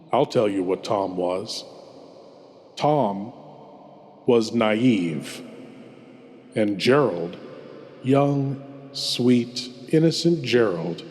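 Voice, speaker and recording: A man hosting a horror show with scripted, theatrical, deliberate and mock-ominous delivery. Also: mid-range voice, very animated, darkish tone. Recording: long cavernous reverb.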